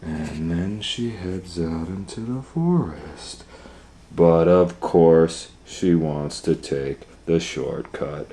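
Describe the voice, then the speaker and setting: A man's voice: sits low, takes it slowly, warm tone. A man reading a picture book aloud to children, with folksy chatty asides and questions to listeners. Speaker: storytime reader and educator. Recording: roomy, echoing room sound.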